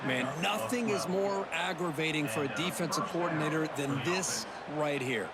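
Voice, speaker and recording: A man's voice: fairly high pitch, normal speed, very noisy, lightly acted. A man voicing an opinionated football color commentator in a video game, conversational and emphatic.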